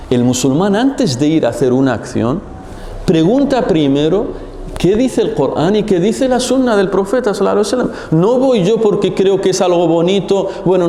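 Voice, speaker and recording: A man giving an Islamic religious lesson, animated and full of rhetorical questions. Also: high voice, moderate pace. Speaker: religious teacher. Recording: echoey hall with long reverb.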